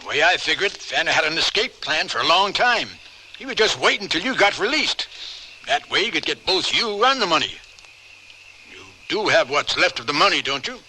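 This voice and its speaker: Sharp, forceful crooked marshal. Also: male voice, a little high, very animated, normal speed, full character voice. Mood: smooth authority. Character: crooked, coolly menacing male federal marshal. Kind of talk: old-time radio western acting. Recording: noisy old radio recording with clicks.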